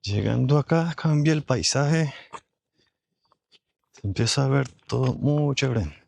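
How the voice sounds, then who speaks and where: A man with a hoarse, slightly rough voice records a travel vlog out in the desert. He talks at a mid pitch, chatty and thinking aloud to the viewers. The sound is dry, with little room around it. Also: measured pace, darkish tone, no echo. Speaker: travel vlogger. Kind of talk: casual on-location vlogging. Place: outdoors in a desert national park.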